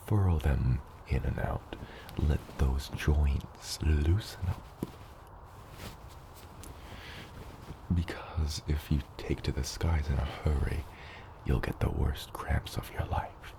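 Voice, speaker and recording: Male actor as dragon dad, rock-bottom pitch, unhurried delivery, patient mentor, gently teasing, partly whispery, ASMR roleplay. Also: fairly even intonation, noisy background, heavily acted. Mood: gentle, instructive. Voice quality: very deep, gravelly, partly whispery. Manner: slow, measured mentoring with hesitant asides. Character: patient, gently teasing adult dragon father teaching a hatchling. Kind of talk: ASMR roleplay, acted monologue to the listener.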